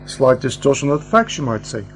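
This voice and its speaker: A man's voice: medium pitch, very animated, a little fast, soft background music, lightly acted. A man playing an eccentric, pedantic time-travelling uncle in an amateur radio play. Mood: wry, lecturing. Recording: noisy, humming home recording.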